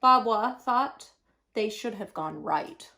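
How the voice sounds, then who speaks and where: A woman reading a children's picture book aloud expressively, with dramatic, emphatic pauses. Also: mid-range voice, expressive, pushed and bright. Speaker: storyteller.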